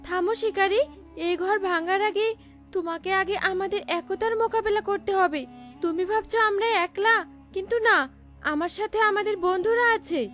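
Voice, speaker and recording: Woman playing a high-voiced brave mother bird. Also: normal speed, fairly bright tone. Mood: defiant. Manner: earnest, motherly. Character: brave, hopeful mother bird, devout and hardworking. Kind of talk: cartoon character acting. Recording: faint music behind the voice.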